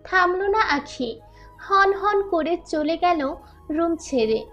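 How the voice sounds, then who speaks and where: A woman with a very high voice narrates a romantic story from a script. Faint music plays underneath her narration. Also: animated, slow, a bit bright.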